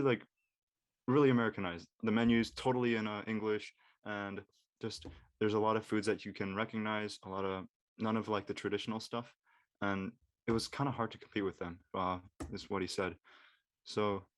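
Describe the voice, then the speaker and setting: A man's voice: lowish voice, no room sound. A man from Georgia in the United States chatting casually, hesitant as he searches for his words. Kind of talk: casual chat.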